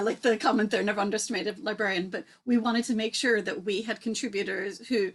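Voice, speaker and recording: Husky woman host, encouraging. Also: medium pitch. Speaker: book editor hosting the launch. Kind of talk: casual online event chat. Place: online video call.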